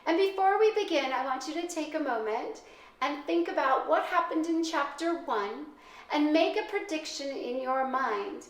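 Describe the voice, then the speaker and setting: A woman reading aloud to children like a teacher, speaking clearly and deliberately in a storybook narration style. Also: high voice, animated, poor audio. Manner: clear, deliberate, addresses young listeners.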